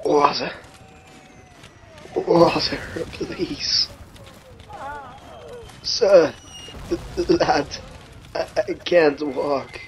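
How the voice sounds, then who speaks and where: Wounded young soldier, acted by a male narrator: extremely slow, weak, halting pleas, noisy background. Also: a little high. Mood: weak, pleading. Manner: halting, drawn-out pleading. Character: wounded young soldier weakly pleading for help. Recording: clearly noisy ambience behind voice.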